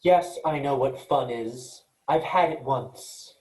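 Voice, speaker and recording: A man's voice: medium pitch, takes it slowly, a little mellow, lightly acted. A man playing an earnest, cautious, literal-minded space freighter worker, speaking precisely and matter-of-factly in a staged reading. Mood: puzzled, defensive. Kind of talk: virtual staged reading of a sci-fi play. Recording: echoey room.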